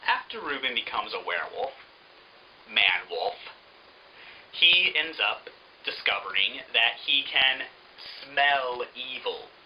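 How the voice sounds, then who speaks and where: A man giving an opinionated, informal book review in an exasperated, sarcastic, animated manner. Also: medium pitch, takes it slowly, long pauses, a little hiss or hum, poor audio.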